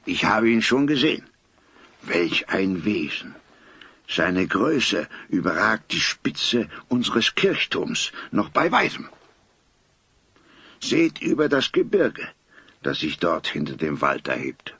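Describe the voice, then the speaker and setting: Slow, husky old grandfather. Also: sits low, big pitch swings, sharp tone, dry recording, full character voice. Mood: solemn, awed. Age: older. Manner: formal, solemn, old-fashioned phrasing. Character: dignified old grandfather, grave, courteous townsman. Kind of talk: German audio drama acting.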